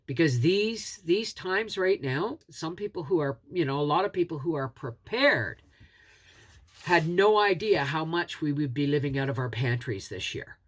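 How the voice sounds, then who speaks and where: A woman's voice: sits low, expressive, moderate pace. A middle-aged woman with a head cold and a scratchy throat, a homesteading host chatting earnestly to camera. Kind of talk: casual talk to camera.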